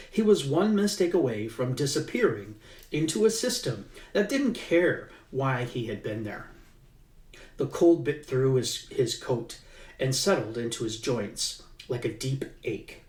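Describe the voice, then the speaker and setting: Slow-paced man, echoey distant mic. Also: medium pitch. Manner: unhurried, with halting fillers in the chat and livelier emphasis in the reading. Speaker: author. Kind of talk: reading his own novel aloud.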